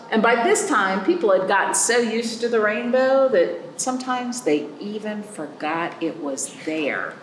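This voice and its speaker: A woman hosting a children's storytime, reading a picture book aloud expressively with dramatic pauses and stretched words. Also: mid-range voice. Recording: roomy echo, faint background noise.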